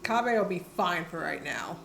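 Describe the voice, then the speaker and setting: A woman's voice: fairly low pitch, sing-song, moderate pace, vocal fry, a bit bright. A woman live-streaming a game, making casual, slangy and dry commentary. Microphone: streamer's microphone. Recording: roomy home mic, game music behind.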